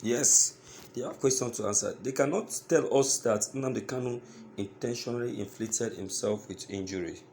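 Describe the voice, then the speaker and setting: A man with a Nigerian accent giving news commentary to his listeners, speaking in a halting, emphatic way and repeating phrases. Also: mid-range voice, a little mellow, a little hiss or hum.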